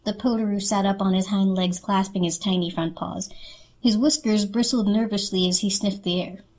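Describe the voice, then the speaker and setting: A woman narrating a children's story as an expressive dramatic reading. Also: mid-range voice, normal speed.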